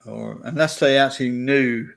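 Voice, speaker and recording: A man's voice: mid-range voice, moderate pace, gravelly, fairly bright tone. A man with a Devonshire accent, co-hosting a podcast in casual film chat, hesitant with many fillers and restarts. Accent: Devonshire English. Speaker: podcast co-host.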